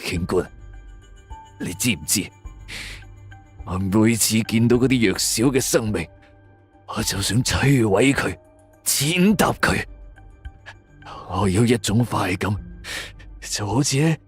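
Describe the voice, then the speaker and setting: A twisted male killer, acted out by a man narrating in a suspense story. He gloats with unstable menace, the low voice swinging widely in pitch. Faint music sits underneath. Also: lively intonation, takes it slowly, long pauses, husky, heavily acted. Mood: sadistic, frenzied.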